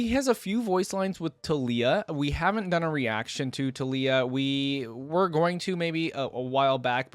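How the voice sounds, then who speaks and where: A man's voice: mid-range voice, no room sound. A man hosting a reaction video, giving casual, informal commentary close to the microphone. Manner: chatty, informal.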